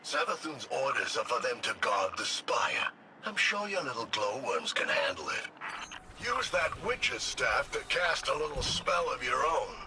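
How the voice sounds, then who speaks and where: A man's voice: mid-range voice, huge pitch swings, a little slow, background noise. An actor playing a cocky, sarcastic villain's henchman spirit in a video game, sneering and taunting. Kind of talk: scripted video game voice acting.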